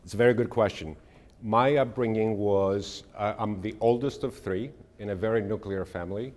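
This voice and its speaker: A middle-aged man from Greece, giving a measured, earnest presentation and answering audience questions. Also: fairly low pitch, darkish tone, long reverb tail. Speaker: speaker.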